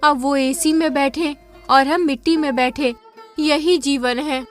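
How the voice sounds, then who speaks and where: A man's voice: extremely high pitch, steady tone, unhurried, faint music behind, voice pushed up. A man voicing a poor, weary village mother in a cartoon story who is resigned but protective of her children. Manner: resigned yet fiercely protective.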